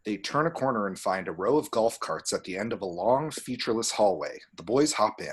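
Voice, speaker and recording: Slightly husky man narrating a screenplay. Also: lowish voice, lively intonation, brisk. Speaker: stage direction reader.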